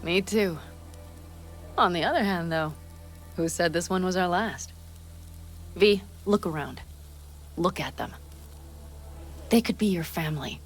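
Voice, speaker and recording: A woman's voice: fairly low pitch, unhurried, long pauses, faint music behind. An actress playing a fiery, earnest young nomad woman in a cinematic video game. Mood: earnest, warm.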